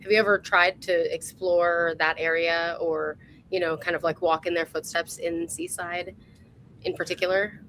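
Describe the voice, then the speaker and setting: A woman with a fairly low voice hosts a casual interview. Her delivery is chatty, informal and appreciative. There is some noise and low rumble behind her. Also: level tone, moderate pace, fairly bright tone, rough recording. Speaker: host.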